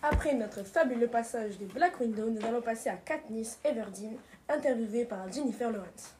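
A woman's voice: fairly high pitch, moderate pace, darkish tone, echoey room, slight background noise. A woman presenter announcing the next segments.

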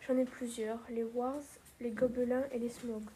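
A woman playing a fifty-year-old male hobbit who loves his tea, answering plainly and calmly in an amateur role-play interview. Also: fairly high pitch, moderate pace, a little hiss or hum, close to an everyday voice, rough recording.

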